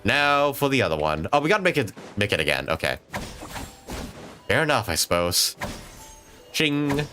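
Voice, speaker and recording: A man's voice: mid-range voice, sing-song, normal speed. A man live-streaming a game with casual, unscripted, sweary commentary, talking to himself as he plays. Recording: game music behind.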